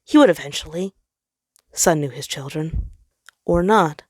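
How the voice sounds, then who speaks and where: A woman's voice: low voice, measured pace, darkish tone, no room sound, studio quality. A woman reading a story aloud as dramatic scripted narration. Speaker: fan fiction reader.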